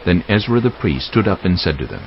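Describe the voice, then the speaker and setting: A man narrating scripture from a script in a measured, solemn way, as in a dramatized audiobook. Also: sits low, very animated, a little hiss or hum. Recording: dull lo-fi audio, music behind. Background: clear background music.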